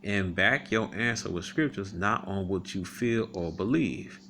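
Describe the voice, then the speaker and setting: A man giving casual commentary on a video. He reads viewer comments aloud, rebuts them, pauses often with "um," and keeps asking "all right?". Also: sits low, a bit bright, a little hiss or hum. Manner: halting, many ums and repeated "all right?" tags.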